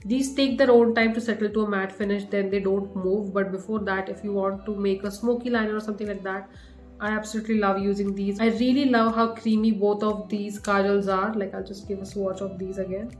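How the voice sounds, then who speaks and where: A young woman with an Indian English accent talks through her favourite products in a relaxed, rambling way. Her voice is slightly groggy from a recent cough. The room rings a little, and soft music plays underneath. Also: mid-range voice, fairly quick. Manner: chatty, with many fillers and restarts. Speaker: beauty vlogger. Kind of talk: casual product review to camera. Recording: echoey room with faint music.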